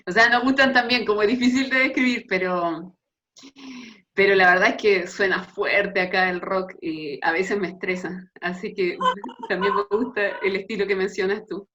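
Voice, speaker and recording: Casting: Chilean woman, 30s, youth pastor, chatty and informal, bright forward voice over dull video-call audio. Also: medium pitch, fairly even intonation, normal speed. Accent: Chilean Spanish. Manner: casual, chatty. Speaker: pastor leading a youth meeting. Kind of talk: informal Bible talk on a group video call.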